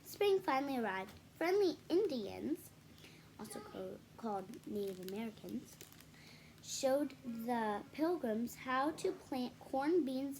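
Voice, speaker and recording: A woman's voice: sits high, a little mellow, slight background noise, rough recording. A woman reading a children's picture book aloud, adding casual explanatory asides for young listeners. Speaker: read-aloud storyteller for children.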